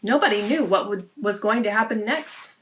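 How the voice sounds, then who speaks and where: A woman's voice: mid-range voice, normal speed, fairly bright tone, a little hiss or hum. A woman hosting a read-aloud, narrating a story for children.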